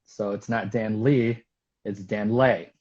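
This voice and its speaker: Guest, man, first language Vietnamese, low voice, easygoing and halting; muffled lo-fi line. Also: steady tone, darkish tone. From United States. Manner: easygoing, halting, lots of ums. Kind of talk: casual conversation.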